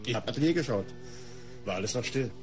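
A man's voice: mid-range voice, animated, fast, over music, natural voice. A man plays a sardonic house guest in a radio crime drama. His mid-range voice moves freely up and down as he delivers casual, wry remarks. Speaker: actor in a radio crime play.

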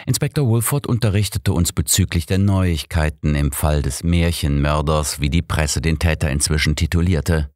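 Deep-voiced audiobook man. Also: normal speed, hardly any pauses, darkish tone, no echo, clean studio sound. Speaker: audiobook narrator. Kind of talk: scripted first-person mystery narration.

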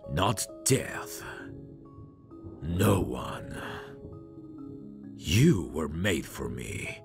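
A man playing a very deep, creepy mad scientist. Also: heavily acted. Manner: slow, intimate, theatrical. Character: possessive, creepy, doting male mad scientist. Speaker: ASMR voice actor. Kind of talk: ASMR roleplay monologue. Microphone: close microphone. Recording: close-miked voice over clear music. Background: music.

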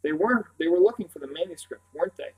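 A man voicing an observant, anxious little boy in an audiobook, with a small clear voice and an earnest, hesitant manner. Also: high voice, expressive, fast, darkish tone, voice pushed up, low-quality recording. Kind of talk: audiobook character voice.

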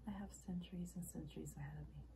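A woman performing a dramatic monologue as an earnest, ageless young woman making an intimate, halting confession to her lover. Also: lowish voice, background noise, lightly acted. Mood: hushed, confessional.

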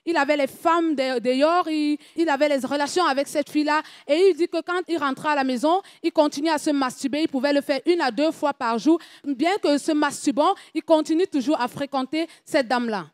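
A woman with a high voice works as an interpreter in church. She relays each statement in a steady, measured way. The recording is dry and close. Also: no echo. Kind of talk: French interpretation of testimony.

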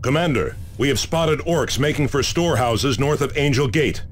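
A man's voice: medium pitch, moderate pace, slight background noise, close to an everyday voice. A man playing a stern, dutiful space marine sergeant in a video game, grave and formal. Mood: firm, dutiful. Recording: loud music and battle sounds behind.

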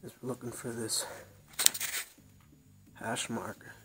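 A man's voice: medium pitch, fairly even intonation, slow pace. A man giving casual, plain-spoken how-to narration while he rebuilds an engine in his home garage, thinking aloud as he goes. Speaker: DIY motorcycle mechanic. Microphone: head-mounted action camera. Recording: music clearly audible under the voice.

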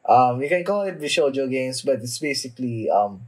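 Young adult man, husky mid-pitched voice, informal halting reaction-host chat with swearing. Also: lively intonation, a little mellow. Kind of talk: casual anime reaction chat. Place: small indoor room at home.

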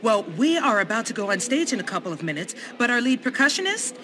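High-voiced, flighty New Age schoolteacher. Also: female voice, big pitch swings, quick pace, crowd noise. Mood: flustered pleading. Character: flighty, earnest New Age female drama teacher. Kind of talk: video game cutscene acting.

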